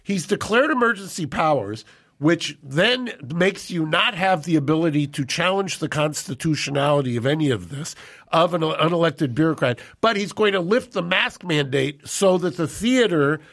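An older man co-hosting a podcast in a studio, talking into a boom mic, indignant and full of rhetorical questions. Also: expressive, moderate pace, a bit bright. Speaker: co-host. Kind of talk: casual podcast talk. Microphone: studio boom mic with headphones.

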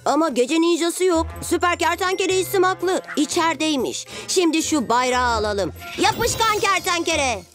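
An eager little boy superhero acted by a woman, pitched high and full of stubborn confidence. He insists he is right in a children's cartoon style, with music faintly behind. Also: lively intonation, moderate pace, hardly any pauses. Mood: insistent, excited. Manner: overconfident, insistent. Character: eager, stubborn six-year-old boy lizard superhero. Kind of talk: animated children's cartoon dub.

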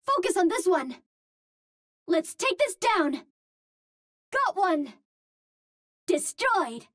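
A woman's voice: very high voice, very animated, drawn-out words, a bit bright, no echo, studio quality, heavily acted. A woman voicing a cute, earnest young Martian heroine in a video game, with precise, formal phrasing. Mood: focused, matter-of-fact. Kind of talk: video game character voice lines.

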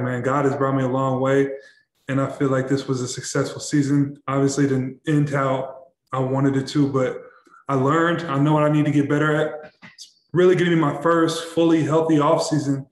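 A young man, a basketball player, answering questions at a postgame press conference, halting and repeating words with many fillers. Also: mid-range voice, echoey room, low-quality recording. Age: young adult. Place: press room in front of a backdrop.

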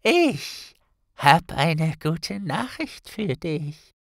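A man voicing a sinister masked witch in an audiobook, almost tender and drawn-out in manner. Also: fairly high pitch, very animated, gravelly, dry recording, studio quality, voice pushed up, exaggerated voice. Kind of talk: audiobook character dialogue.

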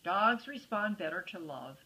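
A woman's voice: fairly low pitch, measured pace, rough recording. A woman reading a storybook as an eager, earnest young boy who is tender about his puppy. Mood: earnest, protective. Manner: excitable, earnest.

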